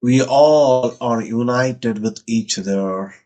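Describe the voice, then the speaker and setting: A man's voice: mid-range voice, darkish tone. Indian man leading guided meditation. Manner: measured short phrases, repetitive, incantatory.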